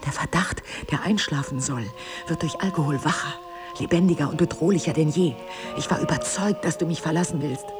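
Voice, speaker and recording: An actress playing a middle-aged, wounded and jealous wife in an intimate drama, with intense, emphatic phrasing. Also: female voice, low-pitched, fairly quick, music in the background, close to an everyday voice. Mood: brooding confession. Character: wounded, bitter, jealous wife, drinker, ageing and proud. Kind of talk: intimate stage-play dialogue and confessional monologue.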